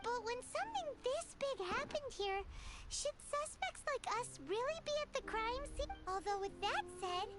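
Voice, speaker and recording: A tiny fairy girl from a video game. Her extremely high, squeaky childlike voice bounces around with chatty, naive excitement over loud music. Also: normal speed. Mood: curious, chatty. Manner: excitable, refers to self in third person. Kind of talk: video game dialogue acting.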